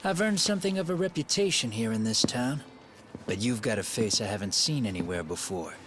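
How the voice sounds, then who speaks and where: A man's voice: mid-range voice, animated, brisk, noisy background, natural voice. A man playing a cocky, streetwise handyman and tough fixer in a dubbed video game cutscene, with a taunting, slangy, swaggering delivery. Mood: sly, probing. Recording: loud music over the voice.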